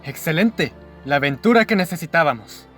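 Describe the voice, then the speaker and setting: A man playing an eager, adventurous gentleman companion to a detective in a radio drama. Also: a little high, expressive, moderate pace, faint music behind. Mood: excited.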